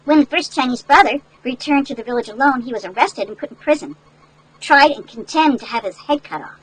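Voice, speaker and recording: An older woman reading a picture book aloud to children in an animated, folksy way, with chatty asides that explain things. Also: high-pitched, brisk, husky, rough recording. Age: older, great-grandmother. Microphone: headset.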